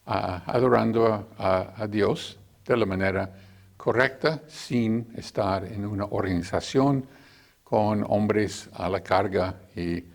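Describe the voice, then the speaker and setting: A man's voice: fairly low pitch, takes it slowly, gravelly. A man hosting an interview, introducing his guest and then listening with short acknowledgements. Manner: gives constant short backchannel acknowledgements.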